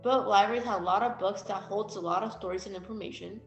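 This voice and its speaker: A woman's voice: mid-range voice, fast, highs cut off. A female student reading a scripted class presentation, with roomy echo and music behind.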